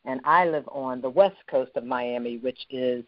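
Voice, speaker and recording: A woman's voice: extremely low pitch, moderate pace, no room sound. Woman host from Philadelphia, talkative, asking questions, dry, slightly muffled recording. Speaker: show host. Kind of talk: casual interview chat.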